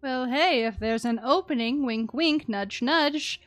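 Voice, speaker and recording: A woman game streamer giving casual, chatty live commentary with an animated, empathetic manner. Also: sits high, measured pace, no room sound. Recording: game music behind the voice.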